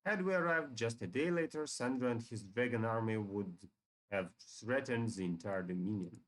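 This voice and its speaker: A man's voice: lowish voice, normal speed, lightly acted. A man voicing a righteous, solemn dragon hero from a fantasy game in an earnest way.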